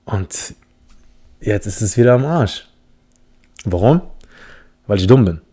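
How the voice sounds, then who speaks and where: A man's voice: fairly low pitch, animated, takes it slowly, mellow. A man from the Philippines hosting a casual solo podcast, telling a story in a rambling, self-mocking way with many restarts. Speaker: podcast host.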